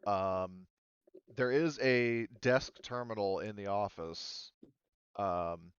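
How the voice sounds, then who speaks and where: A man running a tabletop roleplaying game as game master, explaining informally and haltingly. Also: fairly low pitch, clear, resonant tone, no echo, dull audio.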